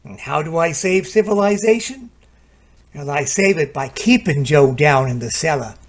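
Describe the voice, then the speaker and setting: A man's voice: fairly high pitch, expressive, normal speed. A man playing a cocky repairman in an old-time radio drama, talking in a casual, slangy, wisecracking way. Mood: confiding, wry. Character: breezy, cocky male repairman hero and storyteller.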